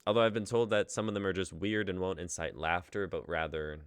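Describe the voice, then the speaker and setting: A man's voice: sits low, steady tone, fairly quick, clean tone, no echo, clean studio sound. A young man hosting a group livestream in a casual, jokey, informal way. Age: young adult. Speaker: host of a group streaming show. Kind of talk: casual livestream intro banter.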